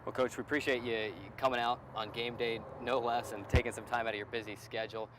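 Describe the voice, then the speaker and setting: A man's voice: mid-range voice, level tone, quick pace, noisy background. A man hosting a sports show and conducting a conversational interview as a play-by-play announcer.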